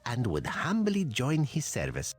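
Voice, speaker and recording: Humble medieval volunteer, wildly varied pitch. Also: male voice, huge pitch swings, measured pace, faint music behind. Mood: humble, earnest. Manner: deferential, formal.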